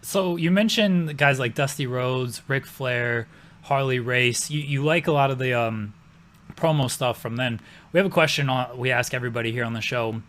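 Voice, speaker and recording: A man co-hosting a podcast, asking relaxed, conversational questions. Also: medium pitch, moderate pace. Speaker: podcast co-host. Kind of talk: casual interview questions.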